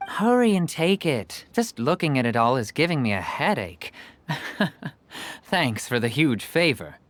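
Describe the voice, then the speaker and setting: A man's voice: fairly high pitch, big pitch swings, measured pace, slight background noise. An actor playing a jovial, persuasive merchant with salesman patter, in video game dialogue. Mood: insistent. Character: smooth-talking adult male merchant, jovial salesman. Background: game music.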